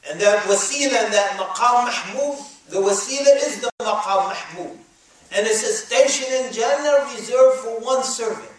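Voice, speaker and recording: A man preaching a sermon with a high, ringing voice, reciting verses quickly in a second language and pressing his points with rising emphasis. He is picked up from some distance, and his voice booms around a very echoey room. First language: English.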